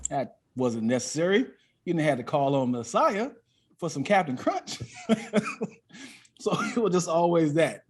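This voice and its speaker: A man's voice: on the high side, very animated, measured pace, pauses often, breathy edge. An American man talking casually as a comic guest, telling stories in a self-deprecating, joking way and repeating phrases as he builds a bit. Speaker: stand-up comic and podcast guest. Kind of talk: casual comedic storytelling.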